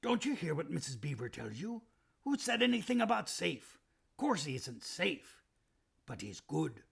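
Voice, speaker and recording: A proud, kindly male beaver from a storybook, put on by a male reader. The voice is rough and strained, with a husky gravel to it. It rises high and swings widely whenever he insists on a point. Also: sing-song, a little slow, fairly bright tone, exaggerated voice. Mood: earnest, emphatic.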